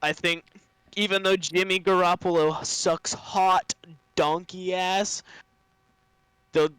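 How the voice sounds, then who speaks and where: A man's voice: sits high, fairly even intonation, takes it slowly, a bit bright, clear, resonant tone, no room sound, rough recording. A man on a sports podcast panel, chatting casually with informal, emphatic phrasing.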